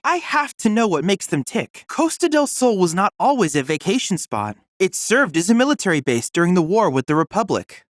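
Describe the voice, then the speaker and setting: A man's voice: high voice, no room sound, studio quality. A man voicing a polite, earnest young android research assistant in a video game, speaking with formal, precise diction. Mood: eager, curious. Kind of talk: scripted video game dialogue.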